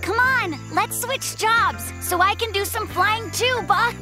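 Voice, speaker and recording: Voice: very high-pitched, takes it slowly, over music. An actor voicing a cautious, sensible and friendly little brown bear cub in a children's cartoon, speaking earnestly. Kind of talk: children's animated cartoon dubbing.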